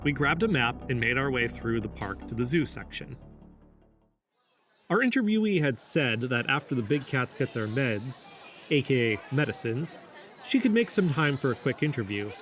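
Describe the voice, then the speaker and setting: A man's voice: mid-range voice, sing-song, normal speed, fairly bright tone, a faint crowd. Man acting an earnest investigator, faint music. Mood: intrigued. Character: earnest male amateur investigator narrating his podcast report. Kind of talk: scripted first-person narration.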